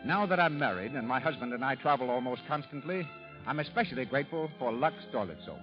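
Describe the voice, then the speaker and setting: A man's voice: mid-range voice, animated, fast talker. A man with an American accent announcing a scripted 1930s radio show in a formal, emphatic showman's delivery. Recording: dull 1930s radio broadcast, music behind.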